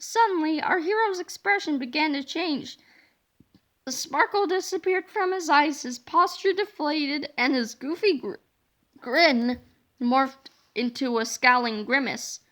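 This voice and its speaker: Female storyteller for children's audiobook: animated, dramatic delivery, high register, bright, forward tone. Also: a little slow. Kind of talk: animated children's book read-aloud.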